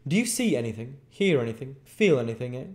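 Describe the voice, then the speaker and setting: A man playing an impetuous gentleman doctor in a storybook reading, brusque, excitable and full of bluster. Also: mid-range voice, quick pace, voice pushed up, lightly acted. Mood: bustling concern.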